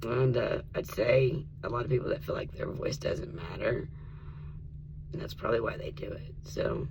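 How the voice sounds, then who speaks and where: A man from Tennessee, his voice rough and in need of rest, talking casually to his viewers and often dropping to an earnest whisper. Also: medium pitch, normal speed, background noise. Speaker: vlogger. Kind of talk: casual spiritual vlog and storytime.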